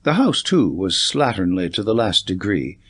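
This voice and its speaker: A man reading a classic story as a scripted audiobook narration, in a measured, expressive storytelling manner. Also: low-pitched, slightly rough.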